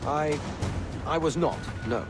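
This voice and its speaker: An actor playing a guarded, roguish sailor posing as someone else in a video game, with a casual, evasive manner. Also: male voice, a little high, slow pace, over music. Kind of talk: game cutscene acting.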